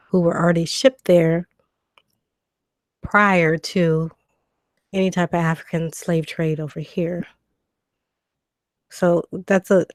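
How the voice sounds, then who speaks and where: A woman hosts a casual livestream, her voice a bit low and hoarse from a cold. She reads out viewer comments and answers them in a loose, conversational way, filling pauses with ums. The sound is dry and close, with no room echo. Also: darkish tone. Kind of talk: casual live stream chat.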